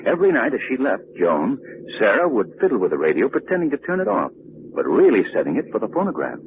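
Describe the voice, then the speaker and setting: An actor playing a calm, knowing gentleman sleuth, explaining things in a measured way in an old radio drama. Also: medium pitch. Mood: explanatory. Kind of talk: 1940s radio drama acting. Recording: muffled, noisy old-time radio recording.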